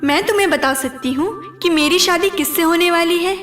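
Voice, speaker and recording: A woman's voice: very high-pitched, moderate pace, fairly bright tone, reverberant, faint music behind. A woman voicing a sweet, curious, teasing young princess in a cartoon, with a sing-song way of asking. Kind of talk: Hindi cartoon story dubbing.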